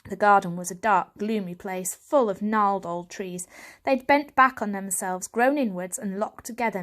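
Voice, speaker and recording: A woman's voice: medium pitch, a bit bright. A woman reading a children's story aloud as scripted audiobook narration. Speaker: audiobook reader.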